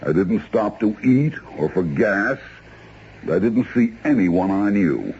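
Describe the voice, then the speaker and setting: Haughty low-voiced lawyer, swooping delivery. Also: male voice, sing-song, slow pace, exaggerated voice. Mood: indignant, defensive. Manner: curt, defensive, precise. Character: indignant, haughty male attorney and secret lover. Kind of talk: 1970s radio drama acting. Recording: dull, noisy old radio recording.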